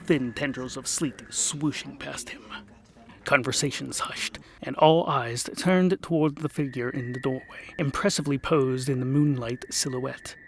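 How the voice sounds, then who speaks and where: A man narrating a comic fantasy tale as the show's host, theatrical, dropping to hushed whispers and rising to sudden bursts. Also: medium pitch, steady tone, unhurried, rough recording. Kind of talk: scripted audiobook narration.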